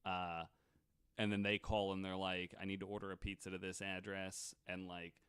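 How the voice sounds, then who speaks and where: A man's voice: deep voice, little pitch movement, moderate pace, fairly bright tone, clear, resonant tone, no echo, studio quality. A man hosting a podcast, telling stories casually among friends and acting out both sides of retold dialogue, with some swearing. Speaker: podcast host.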